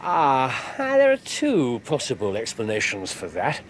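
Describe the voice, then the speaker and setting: An actor playing a brisk, clever, self-assured Victorian English detective in a radio drama, precise, theatrical and wry. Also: male voice, mid-range voice, wildly swooping pitch, a little hiss or hum, low-quality recording. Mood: wry candour.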